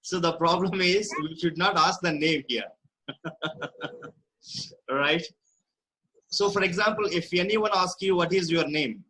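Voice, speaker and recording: A man's voice: high voice, takes it slowly, pauses often, pushed and bright, a little hiss or hum, poor audio. A man, teaching a class and drilling students with short repeated questions. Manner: patient, repeating short prompts.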